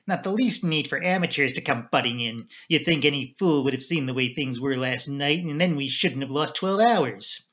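A man's voice: mid-range voice, expressive, moderate pace, few pauses, a bit bright, natural voice. A man voicing a self-assured English police inspector in a mystery audiobook, curt and brusque in manner. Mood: scornful. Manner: curt, cocksure, toneless. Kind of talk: audiobook character dialogue.

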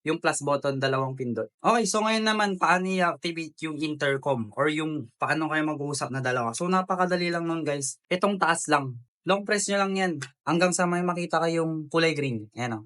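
A man's voice: fairly high pitch, moderate pace, fairly bright tone. A Filipino man giving a casual step-by-step tutorial as a vlogger, informally addressing viewers like friends.

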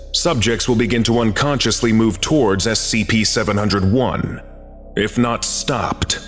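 A man narrating a scripted archive briefing in a formal, measured, clinical manner. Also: fairly low pitch. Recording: long reverb, music behind. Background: music.

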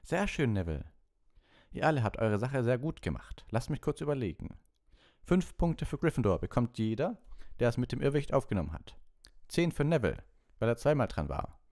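A man voicing an encouraging, brisk male wizard schoolteacher in a storybook, projecting in a lively, commanding yet kindly way. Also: medium pitch, pitched above the performer's own voice. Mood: pleased, praising.

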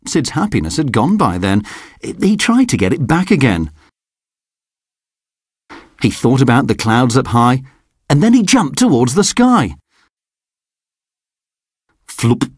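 Brief: slow, sing-song bedtime story reader with a breathy voice. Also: medium pitch, sing-song, no echo, clean studio sound. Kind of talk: children's picture book read-aloud.

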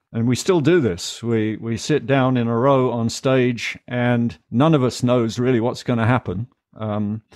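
An older man talking in an unhurried, rambling way, full of ums and restarts. His voice sits at a middle pitch and is recorded close and dry on a studio mic in a home music room. He sounds like a guest musician chatting in an interview. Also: darkish tone, no room sound. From Britain. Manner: rambling, many fillers and restarts. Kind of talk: casual interview talk. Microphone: studio mic, headphones on.

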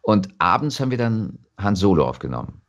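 German guy, really low voice, thinking out loud in an interview, stop and start, bit dull audio. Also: sing-song, a little fast. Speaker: voice actor, interview guest. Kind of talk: reflective interview talk.

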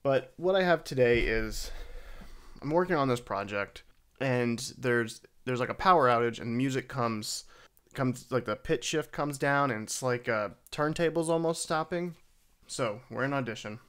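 A man narrating a software tutorial in a casual, halting, self-deprecating way. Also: mid-range voice, smooth. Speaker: tutorial host. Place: voice-over for a screen recording.

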